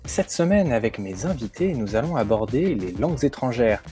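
Male host, warm mellow tone, upbeat banter, faint music bed behind. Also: medium pitch, very animated, moderate pace. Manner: upbeat, playful introductions. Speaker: podcast host. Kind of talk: casual remote chat show.

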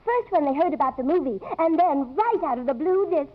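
A fussy gushing chatterbox, high and swoopy, muffled old radio play. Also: female voice, animated, fairly quick. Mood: flustered. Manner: gushing, exclamatory. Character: fussy, effusive, chatty society woman. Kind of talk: 1950s radio acting.

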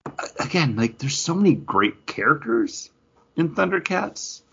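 A man's voice: fairly high pitch, big pitch swings, a little slow, vocal fry, a bit bright, slightly rough, dull audio. A man co-hosting a podcast in casual, enthusiastic chat, using plenty of slang and swearing. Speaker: podcast co-host. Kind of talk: casual chat about comics and toys.

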